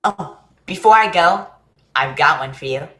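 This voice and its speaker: Eager, earnest kid played by a woman for a staged play, full of questions, slightly low, echoing room. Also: lively intonation, measured pace, fairly bright tone. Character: naive, eager, chatty young boy who loves jokes.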